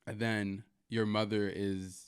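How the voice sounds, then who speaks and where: A man hosting a casual interview, supportive and quick with affirmations. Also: lowish voice, little pitch movement, clean tone. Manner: supportive, frequent back-channel affirmations. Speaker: host.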